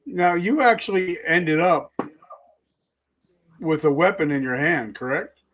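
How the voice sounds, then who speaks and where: A man's voice: a little high, unhurried, a bit bright, a little hiss or hum. A middle-aged man, a former army sergeant, hosting an interview in a direct, conversational way. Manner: direct, calls guest brother. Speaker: podcast host, retired army sergeant.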